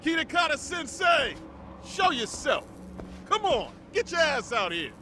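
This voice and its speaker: A frantic young thug yells in a very high, piercing voice that swings wildly. He is hot-headed and agitated, in video game cutscene style. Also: big pitch swings, unhurried, slight background noise, exaggerated voice. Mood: frantic, furious. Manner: shouting, agitated. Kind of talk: dramatic video game voice acting.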